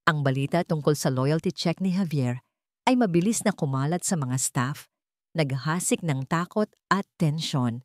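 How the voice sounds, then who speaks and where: Filipino woman narrating drama, low-pitched, dry sound. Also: lively intonation, normal speed, darkish tone, no echo. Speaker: story narrator. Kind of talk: scripted dramatic narration of a romance drama, voicing the dialogue.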